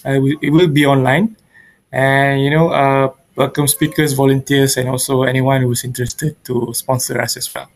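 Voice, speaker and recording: A man hosts an online meetup in a casual, informal way. His mid-pitched voice has a gravelly creak, and he speaks over a video call. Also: little pitch movement, normal speed. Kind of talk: casual event hosting. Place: indoors at home on a video call. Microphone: video call microphone.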